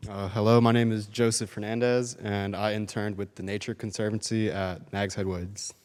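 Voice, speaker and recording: Young man, student presenter, low flat clear voice, long reverb in a hall. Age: young adult. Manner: steady, slightly halting with fillers. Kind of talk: research presentation. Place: lecture hall.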